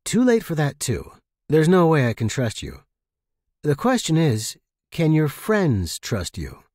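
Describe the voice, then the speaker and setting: A man voicing a guarded, resolute space outpost worker in an audio story, measured and blunt. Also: on the high side, wildly swooping pitch, darkish tone, no echo, clean studio sound, pitched above the performer's own voice, exaggerated voice. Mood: firm, distrustful.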